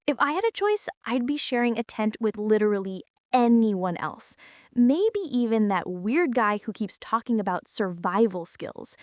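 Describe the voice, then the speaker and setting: A woman's voice: fairly high pitch, a little mellow, no room sound, studio quality. A woman playing a bratty teenage girl bully in an ASMR roleplay, whining and sarcastic as she complains straight to the listener. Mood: exasperated. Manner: whiny, sarcastic, exasperated complaining with sighs. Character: bratty teenage girl bully, grumbling, secretly softening toward tentmate.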